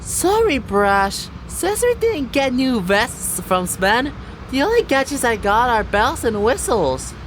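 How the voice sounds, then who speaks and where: A woman's voice: a little high, very animated, slow, slight background noise, poor audio. A woman voicing a cheerful, carefree alligator secret agent with a playful, joking way of talking. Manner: playful and punning. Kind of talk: animated comedy fandub acting.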